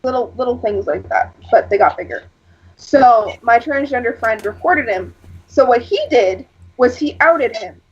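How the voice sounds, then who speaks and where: Woman, husky and breathy, casual rambling storyteller; muffled lo-fi recording. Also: lively intonation, moderate pace. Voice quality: husky, lower than average for a woman. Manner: rambling, storytelling with frequent fillers. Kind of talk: casual chat. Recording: lo-fi dull sound.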